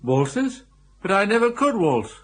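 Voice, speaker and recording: Dotty, high-voiced elderly English lord. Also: male voice, very animated, measured pace, a bit bright, exaggerated voice. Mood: vague, flustered. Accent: upper-class English. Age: older. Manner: hesitant, muddled, repeats himself. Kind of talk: BBC radio comedy drama acting.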